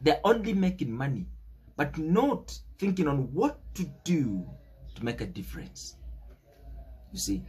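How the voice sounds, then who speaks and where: Emphatic Kenyan man, animated pitch. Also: slightly rough. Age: young adult. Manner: emphatic, preachy, drawn-out stressed words and repeated phrases. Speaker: political commentator. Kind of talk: unscripted political monologue.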